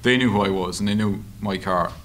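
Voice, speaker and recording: An Irish man answering interview questions in a halting, informal, anecdotal way at a table microphone. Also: fairly low pitch. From Ireland. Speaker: journalist and author being interviewed. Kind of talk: conversational interview answers at a public discussion. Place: meeting room at a table. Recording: steady background room noise.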